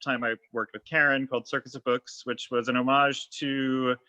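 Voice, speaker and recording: Bright, forceful man, dull audio. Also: mid-range voice, little pitch movement, moderate pace. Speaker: event host introducing speakers. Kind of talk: semi-formal introduction. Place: remote online video call. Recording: dull video-call audio.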